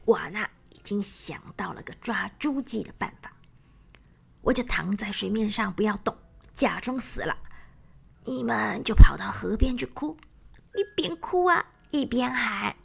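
Woman voicing a sneaky croc dad, low whispery scheming, bit of grit. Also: gravelly, breathy edge, exaggerated voice. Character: sly cunning adult male caiman.

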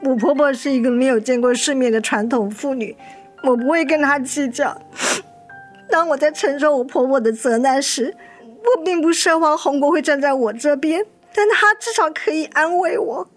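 Casting: devoted, heartsick mother played by an actress, high plaintive voice, emotional radio drama delivery, music underneath. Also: moderate pace, close to an everyday voice. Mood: sorrowful. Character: anguished, devoted young mother of sick child. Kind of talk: Mandarin radio drama acting.

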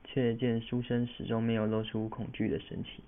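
Male student narrator, teens, scripted storytelling for radio drama, mid-pitched, narrow band-limited audio. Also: little pitch movement, moderate pace, a little hiss or hum.

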